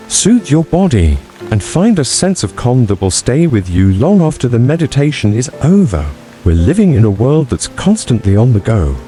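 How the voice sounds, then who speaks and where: Mellow man guiding a meditation, lively intonation. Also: mid-range voice. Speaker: meditation guide and channel host. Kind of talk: scripted guided meditation narration. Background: background music.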